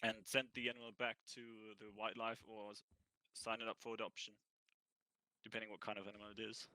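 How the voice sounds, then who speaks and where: A man with a cold answering interview questions in a roleplay, halting and thinking aloud as he goes. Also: on the low side, little pitch movement, sharp tone, no echo, rough recording. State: has a cold. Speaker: ranger job applicant.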